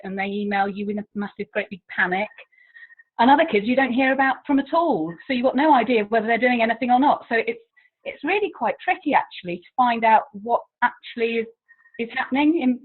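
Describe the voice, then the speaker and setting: An English woman, a languages teacher, chatting as an interview guest, talkative and self-deprecating with frequent laughs. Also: fairly high pitch. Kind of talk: casual interview chat. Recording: tinny phone-like line.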